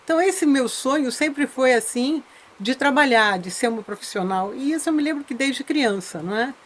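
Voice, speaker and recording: A woman's voice: sits high, lively intonation, normal speed, a little hiss or hum. An older Brazilian woman, a veteran insurance broker, reflectively telling her life story as an interview guest, often adding tag questions. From Brazil, born in Minas Gerais, raised in São Paulo.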